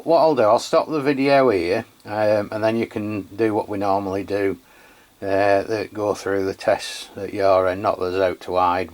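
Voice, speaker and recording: A man with an English accent chatting casually as a radio reviewer, in a hesitant, rambling, matey way. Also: on the low side. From England. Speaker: radio review channel host. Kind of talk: casual radio chat and product testing.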